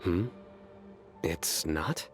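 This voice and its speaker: A man playing a solemn young adventurer in a video game, speaking in a formal, measured, deadpan way. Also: deep voice, faint music behind. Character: deadpan, solemn young male adventurer who tells bad puns. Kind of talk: animated video game voice acting.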